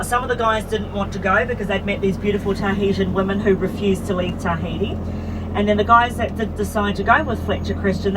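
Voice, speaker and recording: Female tour bus driver, New Zealand accent, chatty storyteller with offhand asides; caught from a distance over loud road rumble. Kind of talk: guided tour commentary. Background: vehicle engine and road noise.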